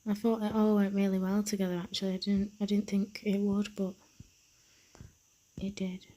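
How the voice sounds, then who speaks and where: A young woman, a nail technician, narrating a tutorial in a soft half-whisper with a slightly croaky voice. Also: medium pitch, little pitch movement, moderate pace. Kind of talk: tutorial voice-over.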